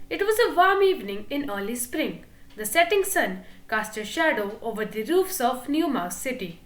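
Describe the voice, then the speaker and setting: A woman reading a children's book aloud in an expressive, playful storytelling manner. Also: a little high, roomy sound. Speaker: reader.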